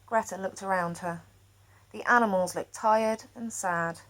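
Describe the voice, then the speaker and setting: A woman storyteller reading a children's picture book aloud expressively, with dramatic pauses. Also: mid-range voice, fairly bright tone, poor audio.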